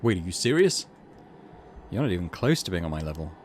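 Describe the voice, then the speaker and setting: Man playing a low, cold, taunting villain. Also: big pitch swings, fairly quick, a little mellow, slight background noise. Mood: scornful. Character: menacing, condescending villain wielding a deadly curse. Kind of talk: reading visual novel dialogue in character.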